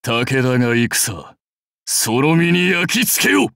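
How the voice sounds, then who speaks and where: An actor playing a proud, battle-hungry samurai warlord in a game, with grand, archaic, declamatory speech. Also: male voice, medium pitch, big pitch swings, slow, gravelly, pushed and bright, no room sound, studio quality, full character voice. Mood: battle-ready, commanding. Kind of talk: anime game voice lines.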